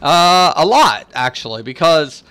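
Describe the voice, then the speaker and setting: Guy rambling to his stream chat, bright smooth voice, lots of um and like. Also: on the high side, sing-song, a little slow. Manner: rambling, chatty, lots of fillers; talks to chat viewers by name. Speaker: gaming streamer. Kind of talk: casual live-stream chat.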